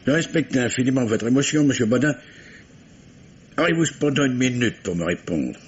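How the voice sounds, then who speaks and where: An actor playing a businesslike, drily insistent police commissioner who questions with small stammers and blunt turns, in an old radio mystery. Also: male voice, medium pitch, animated, fairly quick, natural voice. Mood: insistent, polite. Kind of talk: 1950s French radio mystery acting. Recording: dull, noisy vintage radio recording.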